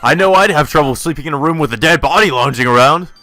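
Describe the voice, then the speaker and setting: Man acting crude game student, raised pitch, forceful, pushy, blunt. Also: fast, soft background music. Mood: brash impatience.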